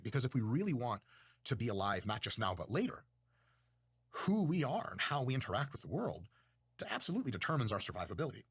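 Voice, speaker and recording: A man's voice: mid-range voice, a bit bright, husky, no room sound, clean studio sound. A man from Russia, giving a casual, rambling talk on philosophy in a profane, self-mocking way. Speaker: video creator. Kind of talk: casual monologue lecture.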